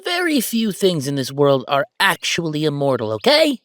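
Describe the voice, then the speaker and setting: Casting: male actor for playful, bossy male ghost roommate, sentimental underneath, very lively pitch, dry close sound, audio roleplay. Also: no echo. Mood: mock offended, bossy. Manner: animated, teasing, banter with pauses and repeats. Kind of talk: roleplay audio drama monologue, conversational.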